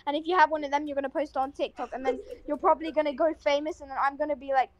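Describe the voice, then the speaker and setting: A woman rattles off nervous worries at a rapid clip over a video chat. Her voice is high and quick, tumbling from one fear to the next. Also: steady tone, highs cut off. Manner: anxious, rambling, quick.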